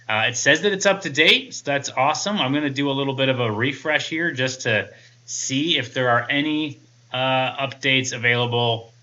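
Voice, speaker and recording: A man's voice: medium pitch, sharp tone, dull audio. A man in his thirties giving a casual, methodical product setup walkthrough as a tech reviewer. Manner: methodical, informal step-by-step explaining.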